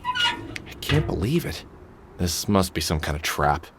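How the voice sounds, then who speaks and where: Deep, weary, brooding game hero. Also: male voice, huge pitch swings, a little slow, background noise, heavily acted. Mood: sardonic, exasperated. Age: middle-aged. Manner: brooding, weary, muttering to himself. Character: weary middle-aged man with a cybernetic arm, grieving and sardonic. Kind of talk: video game voice acting, brooding inner monologue and dialogue.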